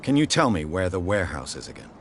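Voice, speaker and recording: A man's voice: low voice, big pitch swings, quick pace, slight background noise. A man playing a serious investigator in a video game, terse and formal.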